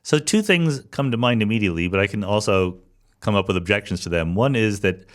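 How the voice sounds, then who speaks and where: A man's voice: lowish voice, expressive, a little fast. A man hosting a podcast interview, speaking conversationally with an inquisitive, probing manner. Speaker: podcast host.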